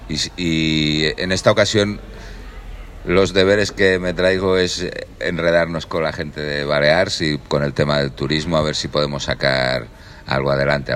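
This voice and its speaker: A middle-aged man from Catalonia with a Catalan accent, introducing himself to a group in a relaxed, rambling way and often correcting himself. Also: very deep voice, slight background noise. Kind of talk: casual self-introduction to a group.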